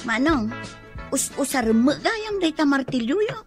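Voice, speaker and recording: A woman's voice: high-pitched, sing-song, unhurried, music in the background. A woman acting a nagging, worried village wife and mother in an Ilocano radio comedy-drama, scolding in an exasperated way with an Ilocano accent. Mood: neighborly, busy.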